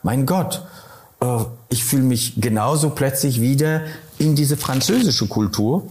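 A man's voice: mid-range voice, darkish tone. Middle-aged man with a Polish accent. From Poland, Silesia. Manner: thoughtful, searching for words, many hesitations. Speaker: interviewee. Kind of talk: reflective interview answers.

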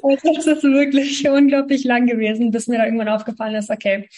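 A woman in her twenties from Ukraine, telling her story as a guest in a relaxed interview, talking with lots of fillers and small laughs. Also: a little high, quick pace. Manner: chatty, many fillers, laughs between sentences. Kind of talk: casual interview talk.

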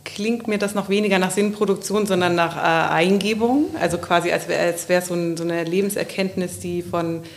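A woman's voice: lowish voice, steady tone, brisk, few pauses, slight background noise. A woman in a reflective intellectual discussion, thinking aloud and often correcting herself as she searches for the right wording.

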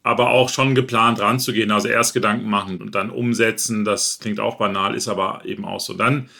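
Gravelly, bright-voiced chatty man. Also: lowish voice, fairly even intonation, brisk. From northern Germany. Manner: chatty, thinks aloud with fillers. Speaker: podcast host being interviewed. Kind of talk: relaxed conversational interview.

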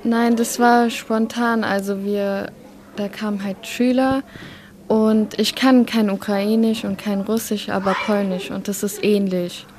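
A woman's voice: medium pitch, steady tone, measured pace, darkish tone. A teenage female student giving casual, simple answers in an interview. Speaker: student volunteer being interviewed.

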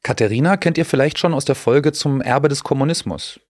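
A man's voice: medium pitch, no echo. Man hosting, dry and close. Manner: measured, reading from a script. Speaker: podcast host. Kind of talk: scripted podcast narration.

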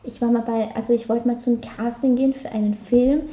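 A woman's voice: steady tone, a little fast, dark tone, reverberant. A young woman from Austria with a light Carinthian-tinged German accent, chatting as an interview guest, laughing often and restarting her sentences. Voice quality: high voice. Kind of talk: casual interview chat.

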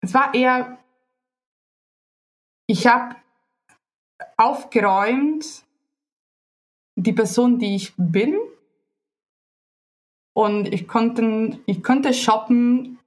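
Woman, talks slow, lots of umms, guest on a chat podcast. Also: fairly high pitch, low-quality recording. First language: Italian. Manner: hesitant, searching for words with many fillers. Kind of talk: casual conversation.

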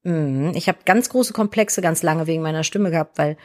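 A young adult woman with a deep voice and a slight lisp, co-hosting a podcast in casual, candid chat. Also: normal speed, darkish tone. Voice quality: self-described deep voice with a lisp. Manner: chatty, candid, frequent fillers like "ne?". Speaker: podcast co-host.

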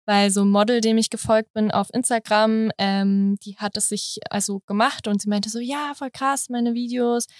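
A woman's voice: a little high, fairly even intonation, normal speed, few pauses, no room sound. Woman, 20s, Berlin accent, guest chatting casually and fillers everywhere, dry studio sound. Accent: Berlin German. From Berlin, Germany. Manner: chatty, many fillers and "halt", laughs often. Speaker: guest, influencer. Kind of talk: casual interview chat.

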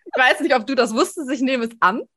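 Higher-pitched woman hosting a podcast. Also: lively intonation, fast, fairly bright tone. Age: 30s. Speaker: podcast host. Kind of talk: conversational podcast interview.

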